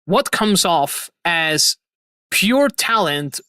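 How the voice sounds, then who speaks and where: A young man in his twenties hosting a relaxed online chat, his voice bright and slightly high. He talks in excited, rambling bursts and laughs a lot. The sound is dry and close. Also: very slow, no echo. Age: 20s. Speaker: host. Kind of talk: casual chat on a livestream.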